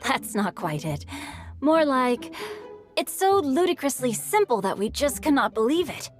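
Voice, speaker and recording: A woman's voice: high-pitched, sing-song, measured pace, faint music behind. A woman voicing a witty, self-assured, eloquent young character in a video game. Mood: teasing.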